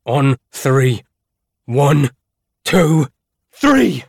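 A man voicing a gruff, bellowing male brawler who snarls out commands in an audiobook. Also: medium pitch, a little slow, no room sound, clean studio sound. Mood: urgent, commanding. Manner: snarling, barked orders. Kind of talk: audiobook character voice.

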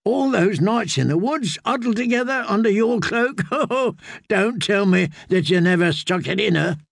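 A man's voice: sits high, animated, moderate pace, gravelly, no echo, studio quality, voice pushed up, full character voice. A man voicing a cocky, drunken young male singer, boisterous and teasing, in an audiobook. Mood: mocking, merry.

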